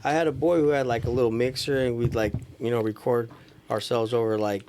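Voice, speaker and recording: A man's voice: mid-range voice, steady tone, fairly quick, noisy background. A man from California in casual podcast chat as a guest, talking informally with slang and lots of "you know" and "like". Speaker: podcast guest, DJ and studio engineer. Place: small room with couches, indoors. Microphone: podcast microphone.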